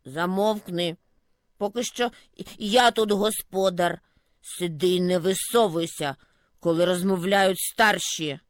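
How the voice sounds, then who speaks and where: A woman voicing a grumpy, bossy old snowdrift who grumbles gruffly at everyone. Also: lowish voice, slow, no echo, pitched below the performer's own voice.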